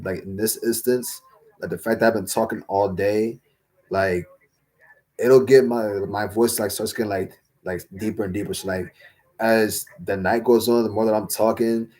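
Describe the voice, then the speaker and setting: A young man hosting a casual livestream Q&A, rambling with lots of fillers and slang, his voice a little raspy from talking all day. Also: fairly low pitch, steady tone, normal speed, a little mellow. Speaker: livestream host answering chat.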